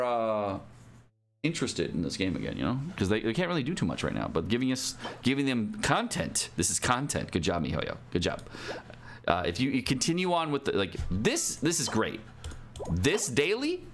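A man's voice: mid-range voice, a little hiss or hum. A young adult man giving casual gaming news commentary as a streamer, informal and slangy in manner. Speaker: game streamer. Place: indoor home streaming setup.

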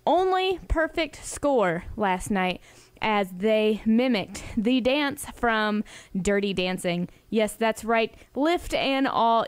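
A young American woman hosts a college radio show in a slightly high, clear and smooth voice. She speaks casually between songs, addressing her listeners in a chatty, informal way.

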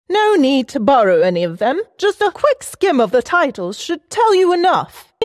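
High-voiced, genteel scholarly game lady. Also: dry recording, studio quality. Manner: polite, formal, storytelling delivery. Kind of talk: scripted video game character dialogue.

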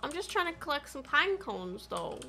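A woman livestreamer giving casual, animated, slangy commentary while playing a game. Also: high-pitched, moderate pace, vocal fry, slight background noise. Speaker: gaming livestreamer. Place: small indoor room at home.

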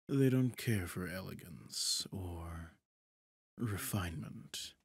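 A man voicing a possessive, suave demon lord in a roleplay monologue, pompous and deliberate, often dropping into breathy half-whispers. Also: low-pitched, no room sound, studio quality, heavily acted. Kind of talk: scripted ASMR roleplay monologue.

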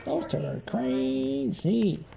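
Puppet cop, played by a man: exasperated, whiny, high and creaky, homemade comedy. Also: big pitch swings, takes it slowly, full character voice. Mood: wary, fed up. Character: exasperated male police officer puppet named Bob. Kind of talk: improvised comic puppet show with a child. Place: living room with high ceiling. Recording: noisy home phone recording with clicks.

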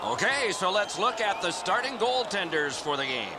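A male play-by-play announcer calling a hockey game in brisk, clipped broadcast phrases. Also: sits high, very animated. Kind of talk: sports broadcast commentary. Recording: game audio under loud arena crowd noise.

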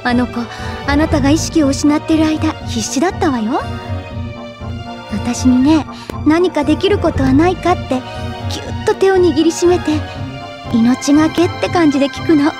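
A woman's voice: high-pitched, normal speed, over music, close to an everyday voice. An actress playing a knowing, teasing female android in an anime-style video game, casual and forthright. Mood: teasing, candid.

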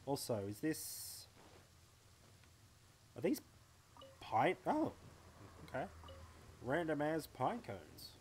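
A man's voice: a little high, huge pitch swings, takes it slowly, long pauses, darkish tone, slight background noise. A young Australian man chatting playfully to himself while streaming a game live from home. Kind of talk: live gameplay commentary.

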